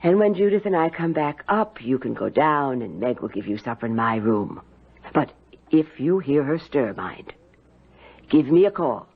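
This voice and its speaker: An actress playing a pious, brisk older aunt in a radio drama, with a firm way of hushing and ordering. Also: female voice, low-pitched, big pitch swings, breathy edge, heavily acted. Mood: weary, bossy. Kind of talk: 1970s radio drama acting. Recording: tinny, narrow old radio recording.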